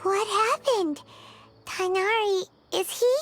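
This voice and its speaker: A woman voicing a tiny, excitable fairy companion in a video game, squeaky and childlike. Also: takes it slowly. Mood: worried, curious. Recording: music clearly behind the voice.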